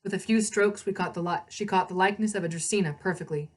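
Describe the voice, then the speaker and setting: A woman reading a story aloud as narrator, in an expressive storybook style. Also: mid-range voice, fast talker.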